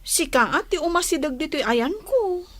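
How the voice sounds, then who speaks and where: Woman playing a high-voiced, bashful young Ilocano woman. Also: animated, normal speed, fairly bright tone, dry recording. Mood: coy, teasing. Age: young adult. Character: modest, bashful young woman student being courted. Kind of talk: Filipino radio drama acting.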